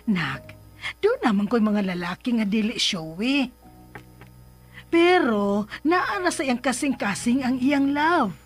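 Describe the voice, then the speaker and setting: A woman playing a caring, coaxing middle-aged mother who reassures gently in a radio drama. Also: fairly high pitch, very animated, slow pace, long pauses, breathy edge, faint music behind, exaggerated voice. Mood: reassuring.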